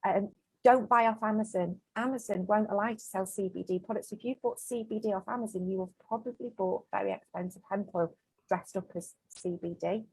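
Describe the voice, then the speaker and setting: Woman, 40s, business owner guest, frank and emphatic, husky, dry close sound. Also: medium pitch, a little fast, no echo, low-quality recording. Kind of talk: casual interview chat.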